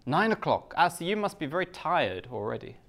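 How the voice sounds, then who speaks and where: A British man from the UK gives a live talk to a Japanese audience in simple, slow English, joking at his own expense. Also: on the high side, big pitch swings, vocal fry, a bit bright. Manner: simple slow English with self-mocking jokes. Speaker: guest speaker.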